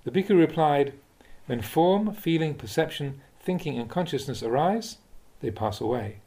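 A man, a Buddhist monk, reading spiritual teachings aloud in a measured, deliberate, instructive way, like an audiobook of a talk. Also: fairly high pitch, lively intonation, warm tone, rough recording. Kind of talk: audiobook-style reading of a dharma talk with questions and answers.

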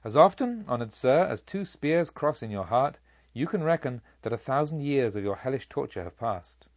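A man voicing a beast-headed guard of hell in a storybook, formal, slow and merciless as he pronounces sentence. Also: mid-range voice, animated, no echo, muffled recording. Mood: stern, merciless. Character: fish-headed and dog-headed infernal watchmen, formal and merciless.

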